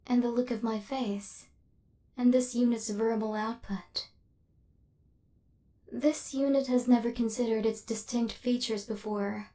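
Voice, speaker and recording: A woman's voice: a little high, darkish tone, lightly acted. A woman voicing a female robot assassin in an ASMR roleplay, cold and relentless, with clipped, formal, mechanical delivery. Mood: curious, softening.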